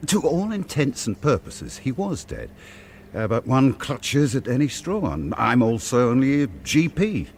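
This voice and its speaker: Stern male GP for radio drama: medium-pitched, very animated, educated wording, exasperated, faint background noise. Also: measured pace. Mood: defensive. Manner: hesitant fillers between firm orders, formal wording. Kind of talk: naturalistic radio drama acting.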